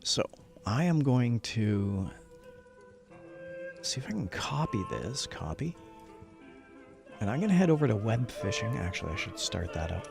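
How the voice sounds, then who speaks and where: Guy livestreaming games, chatty n upbeat, kinda low gravelly voice, music in the back. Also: big pitch swings, measured pace, long pauses. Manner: upbeat, chatty, muttering to himself while working. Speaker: livestreamer and host. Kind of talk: casual gaming livestream chat while setting up.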